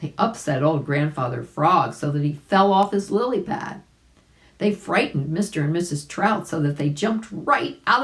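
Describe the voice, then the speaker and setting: Slow, low-voiced woman reading a children's story. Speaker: volunteer storybook reader. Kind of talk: children's story read-aloud with asides to listeners.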